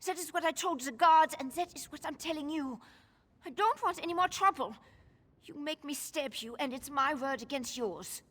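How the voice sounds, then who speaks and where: An actress playing a frightened, defensive young female informant in a video game, guarded and plain-spoken with a husky voice. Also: high-pitched, normal speed, a bit bright. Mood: panicked, threatening. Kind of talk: video game character dialogue. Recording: long hall-like reverb.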